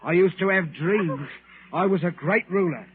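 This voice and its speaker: An actor playing a lonely, troubled, meek man in an old-time radio drama, agitated and pleading in a halting way. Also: sits high, lightly acted. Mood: feverish, menacing. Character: lonely, troubled, meek man who believes himself a murderer. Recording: tinny, noisy old radio recording.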